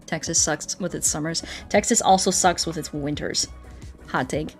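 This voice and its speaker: Young American female streamer, slightly low voice, informal and rambling storytelling delivery, faint music behind. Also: fairly even intonation, moderate pace. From United States. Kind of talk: casual livestream chat while gaming. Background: background music.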